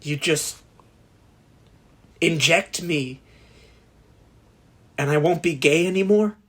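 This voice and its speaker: A nervous young man, a patient in a horror audio play. His voice is on the high side and husky, with a timid, stammering delivery full of desperation and self-doubt. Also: slow pace, a bit bright. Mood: wary, yearning. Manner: hesitant, stammering.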